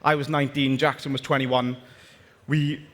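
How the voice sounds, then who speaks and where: A man's voice: mid-range voice, little pitch movement, normal speed, fairly bright tone. A young man with a strong Welsh accent from Swansea, chatting casually through a founder's talk with slides, often restarting his sentences. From Wales. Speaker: company founder giving a talk. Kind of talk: conference presentation with slides. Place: large conference hall. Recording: long hall reverb.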